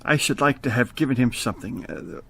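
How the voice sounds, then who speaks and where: A man playing a frightened, remorseful elderly miser in a radio drama, stammering and halting with theatrical emotion. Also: medium pitch, expressive, creaky, a bit bright, husky, heavily acted. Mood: sorrowful, regretful.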